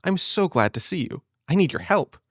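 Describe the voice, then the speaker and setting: Man playing an excitable, high-pitched diner owner. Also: moderate pace, no echo, studio quality. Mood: excited, pleading. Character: anxious, excitable male diner owner from a children's book. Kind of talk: animated storybook read-aloud.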